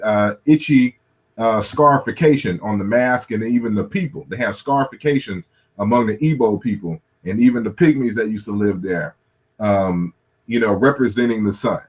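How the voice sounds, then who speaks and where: A man's voice: medium pitch, moderate pace, husky. A man lecturing through slides at a workshop, thinking aloud with many "you know" fillers and restarts. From United States.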